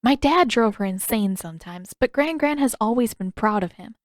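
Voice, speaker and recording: A woman's voice: on the high side, lively intonation, normal speed, dry recording, studio quality, close to an everyday voice. A woman voicing a headstrong, curious teenage girl in an audiobook, earnest, candid and full of pressing questions. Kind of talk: audiobook character dialogue.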